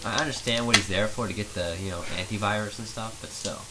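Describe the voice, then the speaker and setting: A man's voice: fairly low pitch, quick pace. A man co-commentating a video game, wry and teasing, swearing casually. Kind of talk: casual let's-play commentary. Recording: steady sizzling hiss.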